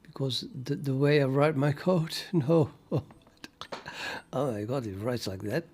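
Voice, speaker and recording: An older man from the Netherlands with a Dutch accent, talking casually and haltingly to his livestream chat while he codes. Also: medium pitch, warm tone, husky. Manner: musing, hesitant, halting with many fillers, chuckles to himself. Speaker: programming livestreamer.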